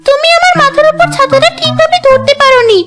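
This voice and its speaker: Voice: very high voice, fast. A cartoon voice playing a vain, bossy young village woman, dramatic and complaining, with music behind. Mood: whiny, blaming. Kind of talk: cartoon character dialogue.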